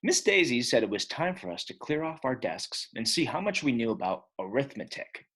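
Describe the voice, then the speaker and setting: A man reading a children's book aloud as an expressive storyteller, with a husky voice. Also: mid-range voice, moderate pace.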